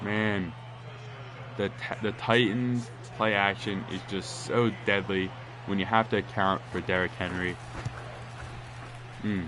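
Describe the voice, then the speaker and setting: A man with a congested, nasal voice giving casual play-by-play commentary on a football video game, rambling and getting louder on big plays. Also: fairly low pitch, fairly even intonation, slow, long pauses, noisy background. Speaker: gaming commentator.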